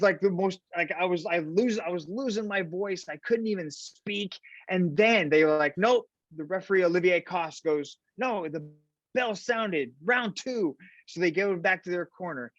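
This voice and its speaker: A man, a fight commentator, chatting in an interview and telling lively stories, tagging his sentences with "right?". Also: high-pitched, dry recording. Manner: animated storyteller, fillers like "right?". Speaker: podcast guest, fight commentator. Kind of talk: casual interview chat. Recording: dull lo-fi sound.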